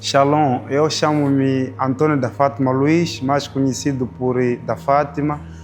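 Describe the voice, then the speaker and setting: A man in his twenties with a Mozambican Portuguese accent, giving an earnest, reflective testimony in an interview and pausing on small fillers. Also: mid-range voice, little pitch movement. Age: 20s. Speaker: Bible school student. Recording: soft music underneath.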